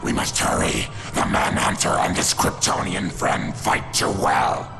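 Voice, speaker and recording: A man voicing a menacing alien invader in a video game cutscene, with a gravelly, commanding, urgent delivery. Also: low voice, lively intonation, unhurried, full character voice. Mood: urgent menace. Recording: loud music over the voice.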